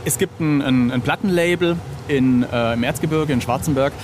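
A man's voice: medium pitch, normal speed, a little hiss or hum. A middle-aged man chatting casually as an interview guest, hesitant and self-ironic, often searching for his words. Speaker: interview guest, illustrator of record covers.

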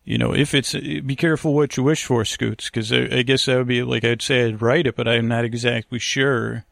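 A man hosting a sleep podcast in a creaky, gravelly voice, wandering through a halting, self-deprecating bedtime monologue. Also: mid-range voice, fairly even intonation, a bit bright, no room sound, studio quality. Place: wood-panelled lakeside cabin bedroom.

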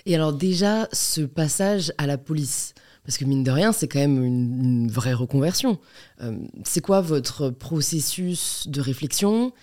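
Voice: medium pitch, measured pace, darkish tone. A podcast host asking casual, curious questions with many fillers. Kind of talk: conversational interview.

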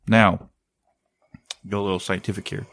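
A man's voice: low voice, level tone, moderate pace, a bit bright, no room sound. A man hosting a casual chat, rambling and often searching for the right word.

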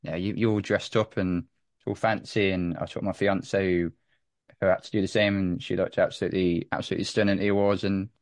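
A young man with a notably low voice talks through a casual podcast chat. He stumbles, repeats words and restarts his sentences as he goes. The sound is dry and close, with no room around it. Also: steady tone, fast talker, dry recording. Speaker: podcast guest.

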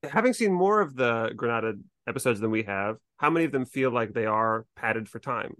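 Man, podcast host, average pitch, close dry sound, casual discussion, thoughtful hesitant phrasing. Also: animated, brisk, fairly bright tone, dry recording.

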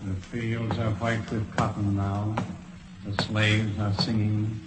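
A haunting voice of memory in vintage radio drama. It is slow and low, echoing from a distance. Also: male voice, completely flat delivery, very noisy. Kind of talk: old-time radio acting.

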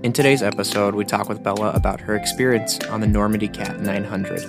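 A man hosting a podcast, reading a measured scripted introduction. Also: on the low side, steady tone. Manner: measured, announcing. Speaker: podcast host. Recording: intro voice over loud guitar music.

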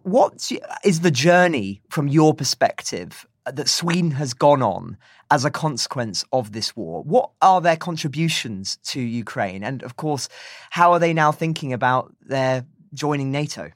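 A man's voice: mid-range voice, lively intonation, measured pace, dry recording, studio quality. A man hosting an interview podcast, putting questions in a polite, articulate and fairly formal way.

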